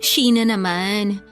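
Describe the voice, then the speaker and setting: An actress playing a gentle ex-girlfriend trying to keep the peace in a radio drama. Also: medium pitch. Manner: gentle, placating. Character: soft-spoken ex-girlfriend, young woman.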